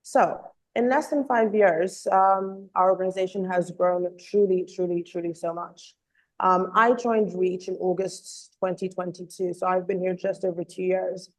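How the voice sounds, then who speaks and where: A woman giving an earnest online presentation as a communications officer, slightly hoarse from a cold, with frequent ums and uhs. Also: fairly low pitch, steady tone, moderate pace, low-quality recording. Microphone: video call.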